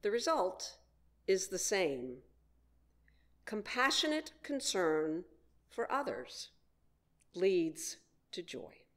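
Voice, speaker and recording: Woman, minister, sermon delivery, very expressive pitch movement, slow deliberate phrasing, frequent pauses. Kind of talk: sermon, prepared message.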